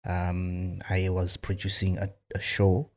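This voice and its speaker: A man's voice: low-pitched, completely flat delivery, slow pace. A man from Zimbabwe, a filmmaker guest in a studio interview, talking casually with many fillers and restarts.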